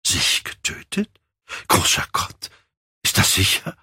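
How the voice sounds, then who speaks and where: A man voicing an earnest, sorrowful older male painter in a pained, hushed audiobook style. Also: medium pitch, lively intonation, a little slow, breathy edge, dry recording, clean studio sound, pitched above the performer's own voice. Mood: horrified, hushed. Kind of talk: dramatic audiobook character reading.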